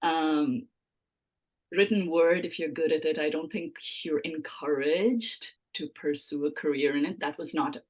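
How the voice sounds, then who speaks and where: A woman's voice: sits low, measured pace, rough recording. Indian-accented woman, thoughtful and rambling. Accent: Indian English. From Mumbai, India. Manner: long-winded, restarting phrases, many ums. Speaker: novelist guest. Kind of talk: reflective interview answers.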